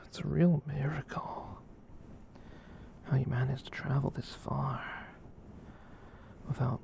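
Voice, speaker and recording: A performer voicing a gentle, soft-hearted giant catboy in a breathy whispered ASMR comfort roleplay, tender and hesitant as they ramble. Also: medium pitch, level tone, takes it slowly, a little hiss or hum. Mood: tender, reassuring.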